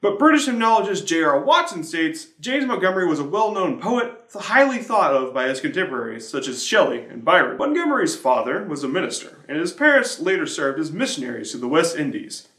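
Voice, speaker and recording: A man's voice: on the high side, sing-song, fairly quick, hardly any pauses, a bit bright, reverberant. A man presenting a church devotional, reading from a prepared script.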